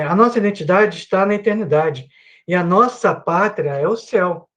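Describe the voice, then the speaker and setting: A Brazilian man teaching a Bible class at his computer with a microphone and headphones. He speaks earnestly and keeps adding little "né" tags. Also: high voice. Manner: earnest, repeats himself with many "né" tags. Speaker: Sunday school teacher.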